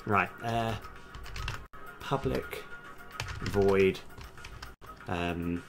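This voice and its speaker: A man's voice: low-pitched, drawn-out words. A man live-streaming as a game developer, casually thinking aloud and muttering bits of code to himself in fragments. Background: background music, computer keyboard typing.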